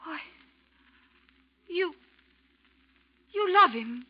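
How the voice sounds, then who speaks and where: A woman's voice: very high-pitched, animated, extremely slow, exaggerated voice. A woman playing a wounded, fragile wife who blames herself, in an emotional 1940s radio melodrama style. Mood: tense, wounded. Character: distraught, wounded young wife, fragile and self-blaming. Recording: dull vintage radio recording.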